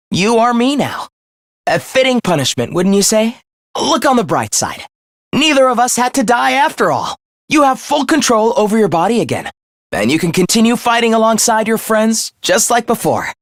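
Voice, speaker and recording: A sadistic young male game villain with a high voice that swings dramatically up and down. He taunts with mock politeness and obsessive, unhinged glee. The sound is close and dry. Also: very animated, normal speed, no room sound. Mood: smug, sinister calm. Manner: taunting, theatrical, mock-cheerful. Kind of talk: theatrical video game voice acting.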